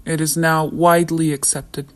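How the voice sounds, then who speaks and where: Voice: medium pitch, little pitch movement, normal speed. A narrator, a native Somali speaker, reads a scripted debunking in an indignant tone. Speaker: video essay narrator.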